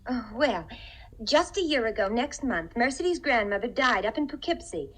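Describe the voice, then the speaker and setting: A woman's voice: on the high side, very animated, normal speed, a bit bright, poor audio. A woman playing a naive, eager-to-please young receptionist in a 1950s radio comedy, rambling on sweetly and politely. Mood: chatty, helpful. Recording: vintage 1950 radio broadcast.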